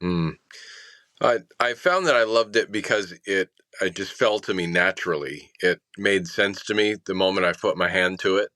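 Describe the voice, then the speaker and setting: A man with a notably low voice and a crisp, bright edge speaks as an interview guest. He reflects thoughtfully, pausing and restarting as he goes. The recording is dry and close. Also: no echo. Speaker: interview guest, sound designer.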